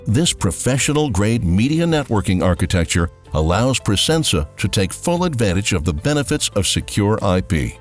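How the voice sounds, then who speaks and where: A man's voice: fairly low pitch, big pitch swings, normal speed, hardly any pauses. A man reading scripted narration for a corporate promotional video. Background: music.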